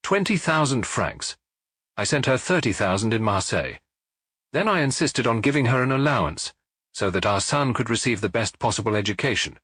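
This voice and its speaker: A man voicing a middle-aged French industrialist, proud but distraught, giving halting, defensive answers in a wheezing voice in an audiobook detective story. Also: medium pitch, animated, fairly bright tone, slightly rough, no echo. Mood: pained, resigned.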